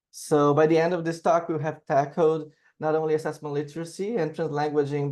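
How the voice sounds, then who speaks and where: A man's voice: fairly high pitch, a little mellow. A man from Brazil with a Brazilian Portuguese accent, presenting to teachers over a video call and often checking in with the audience. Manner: explanatory, often checks in with "right?". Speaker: teacher and panel speaker. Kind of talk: webinar presentation.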